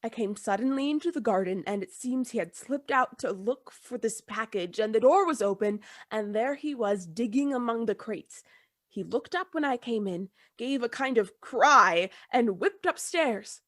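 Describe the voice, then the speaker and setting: A woman's voice: a little high, expressive, moderate pace, fairly bright tone, no echo, clean studio sound. A woman playing a frightened, loyal and deferential old household servant in a Gothic radio play. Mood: frightened, insistent. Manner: deferential, agitated, emphatic.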